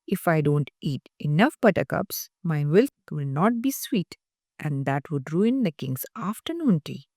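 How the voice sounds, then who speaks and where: Casting: indignant cow for a picture book voiced by a woman, protesting, drawn-out, very animated. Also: low-pitched, no room sound, clean studio sound, full character voice. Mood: polite, hopeful. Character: indignant, self-defending cow in a meadow.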